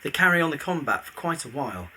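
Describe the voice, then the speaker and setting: A man's voice: a little high, sing-song, quick pace, pushed and bright, slight background noise. A man narrating a scripted audio drama in the present tense, describing scenes and actions.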